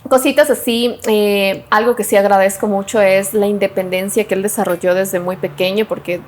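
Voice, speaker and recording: A young woman with an Ecuadorian Spanish accent chats as a podcast guest. She tells stories in a lively way and acts out what people said. Her voice sits at a middle pitch. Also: moderate pace, slight background noise. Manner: warm storytelling, animated quoting, frequent laughs. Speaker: guest sommelier. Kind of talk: casual interview chat.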